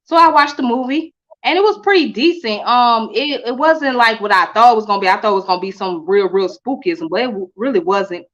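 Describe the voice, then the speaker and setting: A woman raised in Atlanta, a guest telling her story in a relaxed, repetitive, colloquial way. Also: a little high, a little fast, few pauses, dull audio. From Midwest-born, raised in Atlanta. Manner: repeats herself, relaxed, colloquial. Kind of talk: casual interview storytelling.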